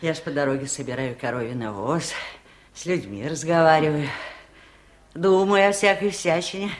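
An actress playing a wise, sturdy old village aunt in a radio play, talking in an unhurried, homely, matter-of-fact way. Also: female voice, low voice, close to an everyday voice. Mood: matter-of-fact, wry. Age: older.

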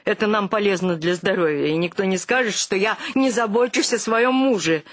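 An actress playing a proud, thrifty, indignant wife in a radio play, defensive and emphatic. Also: female voice, medium pitch, moderate pace, no room sound, clean studio sound, natural voice.

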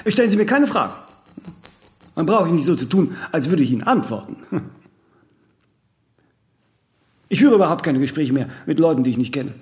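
A haughty, high-voiced eccentric celebrity icon. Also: male voice, big pitch swings, quick pace, a little mellow. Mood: haughty, complaining. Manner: haughty, rambling, aphoristic, with clipped tag questions and self-corrections. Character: vain, eccentric ageing male celebrity icon, imperious and aloof. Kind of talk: radio play monologue in dialogue.

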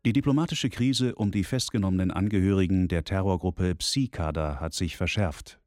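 A man playing a deep-voiced news anchor. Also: moderate pace, a little mellow, no echo, soft background music, lightly acted. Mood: formal. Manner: formal newsreading. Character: formal male TV news anchor. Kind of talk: broadcast news reading.

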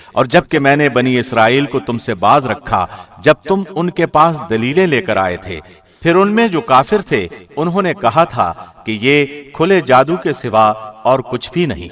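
A man reading a scripture translation in a formal, measured, solemn radio presenter's delivery. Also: mid-range voice, fairly bright tone.

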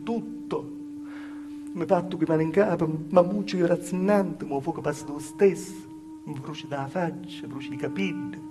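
A man's voice: fairly high pitch, close to an everyday voice. An actor playing a humble, wronged southern Italian village woman in a plaintive theatrical monologue, with a Calabrian accent and repetitive storytelling. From southern Italy, Calabria. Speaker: stage actor performing a monologue. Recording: live stage performance with music. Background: live music.

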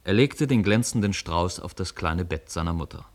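Low-voiced male storyteller. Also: animated, normal speed. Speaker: narrator. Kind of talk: scripted storytelling narration for a radio play.

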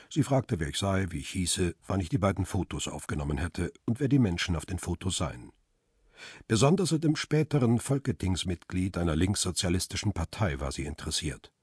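An actor playing a wry, stubborn photographer who narrates and speaks in a crime radio drama, with a dry, confrontational manner and a husky, rough voice. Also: male voice, sits low, a little mellow, dry recording, exaggerated voice. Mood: dry, guarded.

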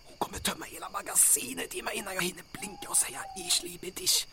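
A man's voice: very high voice, huge pitch swings, vocal fry, heavily acted. A man playing a nervous, reluctant soldier sidekick in a comic audio drama, wry and protesting. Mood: nervous, hushed. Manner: wry, protesting, often hissed whisper or yelled.

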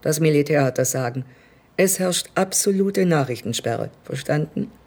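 A woman's voice: deep voice, expressive, moderate pace, loud music behind the voice. A woman acting in a radio play as a menacing, condescending state premier who speaks with controlling courtesy. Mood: cold pressure.

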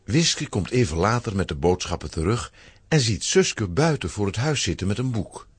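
A man's voice: fairly low pitch, expressive, moderate pace, husky, no echo. A man narrating a scripted children's story in a dramatic storytelling manner.

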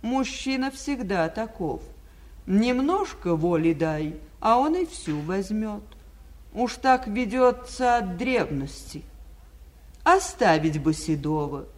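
An actress playing Spring, a wistful nature spirit and mother, declaiming measured verse in a theatrical 1940s radio fairy-tale play. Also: medium pitch, animated. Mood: rueful, complaining.

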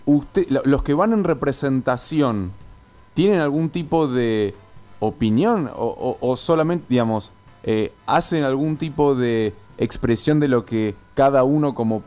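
A man's voice: medium pitch, a little slow, mellow, faint music behind. A man with an Argentine accent asking questions in a conversational radio interview, hesitant and groping for his wording. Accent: Rioplatense Argentine.

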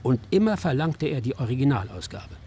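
A man narrating a scripted radio documentary in a measured, descriptive storytelling manner. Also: mid-range voice, big pitch swings, dark tone, a little hiss or hum. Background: music.

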